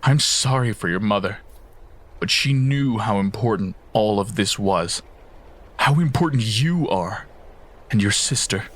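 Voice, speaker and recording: A man performs a weary immortal guardian in a fantasy audio drama. The voice is low and the delivery very slow and measured. Sorrow and solemn resolve weigh on the words. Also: lively intonation. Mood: grieving, resolute. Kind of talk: fan audio drama acting.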